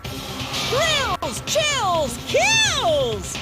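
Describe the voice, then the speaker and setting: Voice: extremely high pitch, wildly swooping pitch, over loud music, heavily acted. An actor playing a sadistic, gleeful robotic teddy bear villain in a video game, delivering showy taunts. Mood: gleeful, menacing. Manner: showy, drawn-out taunting announcements. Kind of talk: theatrical video game character acting.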